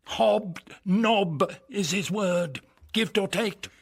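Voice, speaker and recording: An actor playing a boisterous, scheming knight in a Shakespearean radio comedy, pompous and theatrical, savouring grand words with a husky voice. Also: male voice, sits high, very slow, full character voice. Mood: mock menace. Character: boisterous, scheming knight and uncle, relishing mischief.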